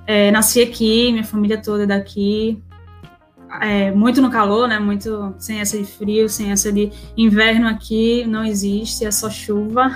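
Woman, Northeastern Brazilian accent, mid pitch, fairly even intonation, casual slangy interview guest, faint music. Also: moderate pace. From Recife, northeastern Brazil. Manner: informal, slangy, frequent tag questions. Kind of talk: casual chat.

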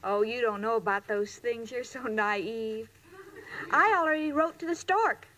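Actress as a very high-voiced, naive young sweetheart. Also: female voice, measured pace, lightly acted. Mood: wistful. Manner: earnest, guileless. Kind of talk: 1940s-50s radio comedy acting. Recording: noisy old-time radio recording.

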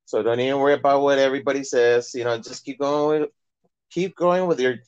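A man's voice: mid-range voice, fairly even intonation, brisk, clean tone, no room sound. A man hosting a casual phone interview, chatting informally and encouragingly. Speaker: interview host. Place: remote phone call. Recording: dull, lo-fi phone call audio.

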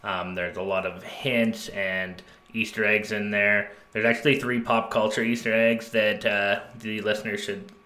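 A man's voice: fairly low pitch, level tone, measured pace, few pauses, bright, forceful tone, echoey room. A man co-hosting a podcast, chatting in a laid-back, eager way. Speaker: podcast co-host. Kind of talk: casual chat.

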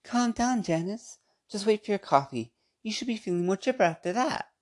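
A woman's voice: mid-range voice, lively intonation, a little fast, a little mellow, close to an everyday voice. A woman voicing a prim eighty-five-year-old sister who scolds her siblings about manners. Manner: primly scolding, proper.

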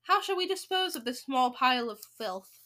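A woman voicing excitable, spiteful witches from a storybook in an exclamatory, shrieking manner. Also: high voice, normal speed. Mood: fawning, eager.

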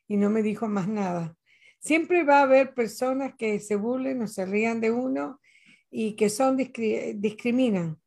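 A woman's voice: a little high, a little slow, creaky, darkish tone. An older Latin American woman talking in a casual conversation, earnest and reflective, telling personal stories. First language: Spanish.

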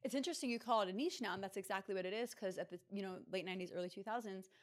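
Brief: woman podcast host, fast conversational questions, easygoing. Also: mid-range voice. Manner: quick, lively questions with laughs. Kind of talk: casual interview conversation.

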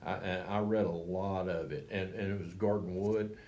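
An older man, a retired coach, talking in a rambling, halting way with many restarts. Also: sits low, fairly even intonation, darkish tone, slight background noise. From Texas. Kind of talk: reflective interview talk.

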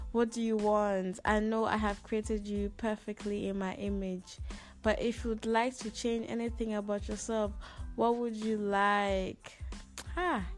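A woman's voice: medium pitch, darkish tone. A woman in her twenties hosting a casual solo podcast in a sassy, slangy, theatrically complaining way, as if venting to her listeners. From Ghana, lived there six plus years. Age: 20s. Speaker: podcast host.

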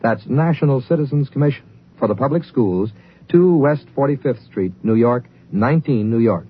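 A man's voice: medium pitch, expressive, normal speed. A man reading a 1950s radio public service announcement in a formal, earnest announcer's style. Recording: muffled, hissy old radio recording.

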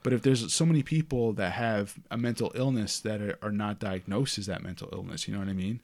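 A young man with a lowish voice who talks things through steadily in casual conversation. The sound is close and dry, with no room echo. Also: vocal fry, darkish tone. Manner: measured, reasoning aloud, hedges with you know and I mean. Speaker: podcast host. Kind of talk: casual chat.

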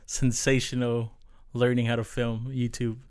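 A man's voice: medium pitch, monotone, unhurried, clear, resonant tone, no room sound, clean studio sound. A man from California hosting a podcast in casual chat, loose and talkative, with lots of fillers and restarts. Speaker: podcast host.

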